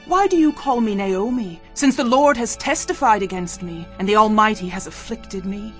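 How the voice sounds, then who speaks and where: A woman's voice: medium pitch, normal speed, a bit bright, lightly acted. An actress playing an older widowed mother-in-law, emotive and solemn, in a dramatized audio Bible. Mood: bitter lament. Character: grieving, bitter old widowed mother-in-law, tender toward her daughters. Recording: dramatic music bed under the voice.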